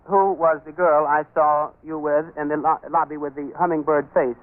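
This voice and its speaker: A man's voice: fairly high pitch, level tone, moderate pace, natural voice. Man playing a wordy, mock-polite 1930s radio straight man. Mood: politely probing. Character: patronizing, wordy male straight man in a comedy act. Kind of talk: 1930s radio comedy crosstalk. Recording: tinny, band-limited 1930s radio recording.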